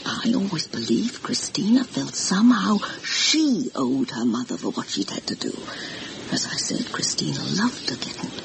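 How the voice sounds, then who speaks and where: A woman's voice: sits low, big pitch swings, normal speed, creaky, heavily acted. A woman playing an anxious, gossipy older housekeeper in a vintage radio horror drama, confiding and deferential as she tells her story dramatically. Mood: sorrowful, confiding. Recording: noisy vintage radio recording.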